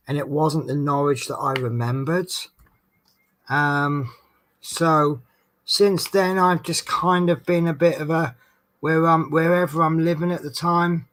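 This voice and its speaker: A man's voice: on the high side, fairly bright tone. Man, Norfolk accent, rambling casual chat, frequent ums, at home. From England. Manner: rambling, chatty, many ums. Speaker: vlogger. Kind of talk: casual chat while crafting.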